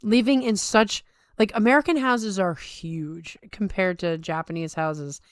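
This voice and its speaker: A woman's voice: medium pitch, fairly even intonation, measured pace, dry recording, studio quality. A middle-aged woman co-hosting a sports talk show, giving casual, emphatic and colloquial commentary. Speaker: sumo talk show co-host. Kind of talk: casual chat with opinionated commentary.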